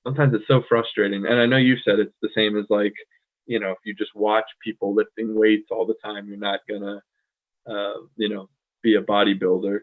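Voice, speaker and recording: A man from the United States co-hosting a casual chat, thoughtful and pausing often with "um". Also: fairly low pitch, flat delivery. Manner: thoughtful, many "um" fillers. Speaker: podcast co-host. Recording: tinny phone-like line.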